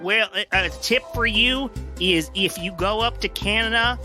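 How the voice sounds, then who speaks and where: A man improvising a bumbling, halting tourist on a comedy podcast. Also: high-pitched, over music. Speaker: podcast co-host.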